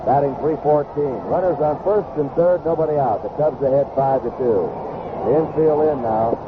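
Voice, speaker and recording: A man's voice: a little high, moderate pace. An American man calling live baseball play-by-play on the radio in short, clipped phrases that follow the action. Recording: noisy, tinny 1970s AM radio broadcast.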